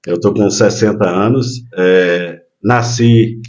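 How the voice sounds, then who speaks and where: A man in his sixties from Minas Gerais, a guest telling his life story in relaxed, casual chat with frequent hesitations. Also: on the low side, slow, highs cut off. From Minas Gerais, Brazil. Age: 60s. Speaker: guest, local businessman.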